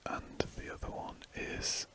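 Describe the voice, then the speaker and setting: A man talks in a breathy, unvoiced whisper right up against a close mic. He drifts from thought to thought and restarts his phrases as he works on a drawing. The soft ASMR delivery stays hushed throughout. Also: unhurried. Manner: soft rambling whisper with self-corrections. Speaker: ASMR drawing channel host. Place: indoors at a drawing desk.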